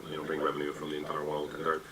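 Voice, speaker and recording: A man answers interview questions quickly in a deep yet bright voice. He sounds hesitant and reassuring and leans on fillers. He is picked up from a distance, with faint room ambience behind him. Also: completely flat delivery, a little hiss or hum. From Czechoslovakia. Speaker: tech executive interviewee.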